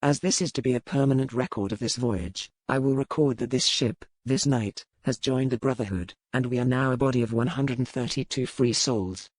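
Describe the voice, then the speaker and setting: Narrator acting a commanding low-voiced pirate captain. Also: darkish tone, no echo, natural voice. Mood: proud, resolute. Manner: measured, commanding, solemn. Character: bold, proud male pirate captain and earl. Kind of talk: formal ship's log read as dramatic narration.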